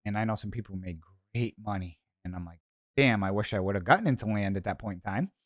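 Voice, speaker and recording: A man's voice: on the low side, expressive, quick pace, no echo, muffled recording. A man with a Boston accent hosting a solo podcast, talking informally with conversational asides. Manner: rhetorical self-questioning, conversational asides. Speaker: podcast host, investor.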